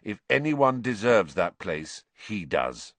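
A man's voice: lowish voice, sing-song, slow, a bit bright, no room sound, exaggerated voice. A man voicing an earnest, defiant boy in a storybook, breathless and urgent as he stands his ground. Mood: firm.

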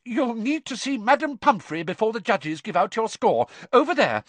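A man voicing a stern, older female professor who speaks in clipped, brisk commands. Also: high-pitched, very animated, a bit bright, no echo, pitched above the performer's own voice, exaggerated voice.

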